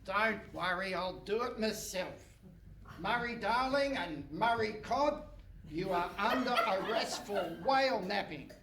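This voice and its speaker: Bossy inspector male actor, unhurried and officious, comic radio play, echoey noisy hall. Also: high-pitched, lively intonation. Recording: roomy, noisy live hall, distant mic.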